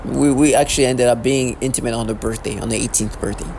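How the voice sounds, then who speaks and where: A man's voice: mid-range voice, steady tone, quick pace, gravelly, a little mellow, a little hiss or hum. A man in his thirties talking in a rambling, repetitive, agitated monologue as a vlogger. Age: 30s. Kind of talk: unscripted monologue to camera.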